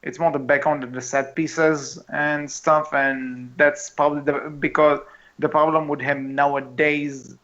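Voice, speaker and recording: A man's voice: on the high side, level tone, a little slow, fairly bright tone. A man co-hosting a podcast, discussing casually and readily arguing his point. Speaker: podcast co-host. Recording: dull lo-fi home mic.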